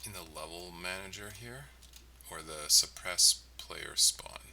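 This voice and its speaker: Deep guy w very bright crisp edge, thinking out loud while coding, halting, mic far off, noisy with typing. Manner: thinking aloud in halting phrases. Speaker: game developer, livestream host. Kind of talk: casual livestream coding commentary. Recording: clearly noisy, distant mic, keyboard clicking. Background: typing, mouse clicks, steady noise.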